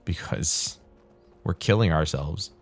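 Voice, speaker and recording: A man casually rambling while playing a game, his throat dry and tired, with a calm, soothing way of talking. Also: a bass voice, slow pace. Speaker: gaming channel host. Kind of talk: casual rambling commentary over gameplay.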